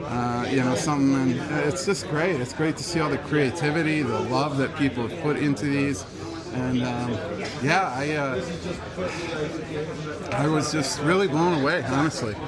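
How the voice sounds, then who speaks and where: Man, medium pitch, enthusiastic, casual chat, very busy crowd behind. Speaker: event attendee being interviewed. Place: busy gaming event venue. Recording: loud crowd chatter behind. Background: crowd chatter.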